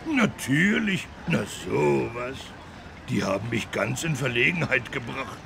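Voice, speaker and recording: A man voicing a big, good-natured, childlike talking elephant with a gravelly voice in a children's learning game. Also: medium pitch, very animated, background noise, heavily acted. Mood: excited. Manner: slow, wondering, naive.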